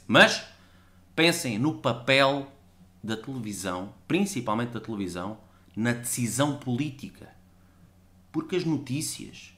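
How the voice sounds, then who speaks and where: A Portuguese man with a European Portuguese accent holds forth in a sarcastic, rambling monologue. His voice is bright and forward, and it swings widely up and down as he gets worked up. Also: medium pitch. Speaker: podcast guest. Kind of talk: ranting monologue.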